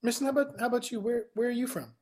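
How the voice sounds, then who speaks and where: A woman's voice: fairly high pitch, racing, darkish tone. A woman hosting a talk show, chatting casually with her guests and often restarting her questions. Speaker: podcast host. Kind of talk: casual interview chat.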